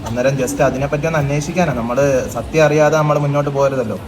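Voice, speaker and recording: A man's voice: mid-range voice, fast talker, lightly acted. A man playing a child helpline officer on a prank call, politely but hesitantly questioning someone about a complaint. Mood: probing, official. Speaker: prank caller. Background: loud music.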